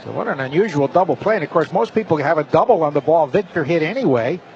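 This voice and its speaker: Male baseball play-by-play announcer, fairly high register, live radio call, slightly muffled broadcast with faint ballpark noise. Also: animated, fast, a little mellow.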